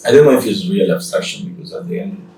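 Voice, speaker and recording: A man from El Salvador with a Salvadoran Spanish accent, speaking English as a second language, haltingly and with many fillers, interviewed as an artist in an art gallery. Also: fairly low pitch, big pitch swings, mellow, echoey room, recorded from a distance, a little hiss or hum, rough recording. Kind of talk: casual interview.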